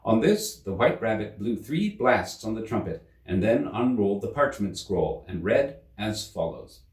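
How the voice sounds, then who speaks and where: Low-voiced man narrating, very expressive. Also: a little slow. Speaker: storyteller. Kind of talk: expressive scripted narration of a children's story. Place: indoor room. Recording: roomy, slightly distant microphone.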